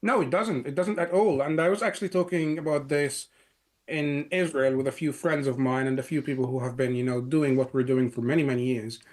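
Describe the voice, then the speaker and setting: A man's voice: medium pitch, steady tone, brisk. A middle-aged man from Egypt, a guest answering interview questions in long runs full of "you know" and restarts. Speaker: guest, security analyst.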